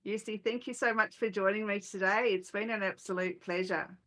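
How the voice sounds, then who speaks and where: A woman hosts an interview podcast. Her voice is of average pitch, and she talks to her guest in a conversational style. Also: steady tone, fairly quick, a bit bright. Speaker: podcast host.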